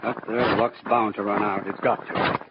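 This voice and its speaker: An actor playing an earnest, deferential and dogged police sergeant in a 1940s radio crime drama. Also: male voice, on the low side, flat delivery, moderate pace, lightly acted. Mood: earnest concern. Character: earnest, dogged male police sergeant, loyal to his captain. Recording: muffled, very noisy old radio recording.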